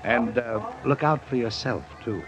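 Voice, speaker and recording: An actor playing an older businessman, measured, courteous and guarded, in old-time radio style. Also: mid-range voice, animated, vocal fry, over loud music. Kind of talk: 1940s radio acting. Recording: muffled, noisy old radio recording.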